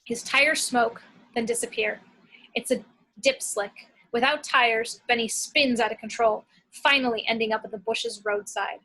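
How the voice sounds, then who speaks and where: A woman's voice: a little high, moderate pace, pushed and bright, poor audio. A woman narrating action descriptions from a script at an amateur table read.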